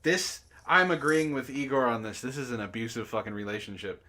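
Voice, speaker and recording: A man's voice: medium pitch, a little fast, pushed and bright. A man hosting a read-aloud, narrating casually and breaking off for sardonic, profane asides. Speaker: book club host reading a story aloud with commentary.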